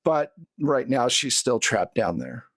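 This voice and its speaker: A man's voice: medium pitch, expressive, unhurried, gravelly, no echo, clean studio sound. A man chatting casually as the game master and host of a podcast.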